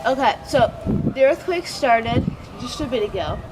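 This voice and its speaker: A woman's voice: a little high, expressive, unhurried, recorded from a distance, over loud music. A woman giving an improvised report in an emergency drill. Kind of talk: improvised role-play report. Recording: noisy outdoor recording, vehicles, off-mic.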